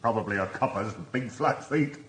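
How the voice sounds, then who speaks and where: An actor playing a touchy, plain-spoken husband in a radio drama, with a blunt, colloquial way of talking. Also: male voice, on the low side, normal speed, slight background noise, natural voice. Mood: grumbling. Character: small, touchy, blunt husband, tender to his wife.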